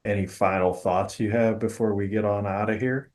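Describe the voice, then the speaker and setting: A man with a notably low voice hosts a casual podcast. He speaks in a measured, articulate way, choosing slightly formal phrases while staying conversational. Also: fairly even intonation, darkish tone. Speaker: podcast host.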